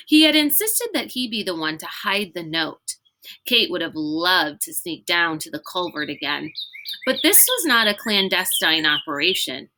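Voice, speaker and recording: A woman reads a children's novel aloud in an animated, expressive storytelling style with clear emphasis. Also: lowish voice, slight background noise. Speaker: read-aloud reader.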